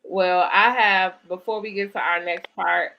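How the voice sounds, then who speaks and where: A woman with a slightly low voice hosts a live online interview. She talks conversationally and in an affirming, encouraging manner. The sound is a little dull and lo-fi, like a livestream. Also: fairly even intonation, a little slow, gravelly. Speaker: host interviewing an author.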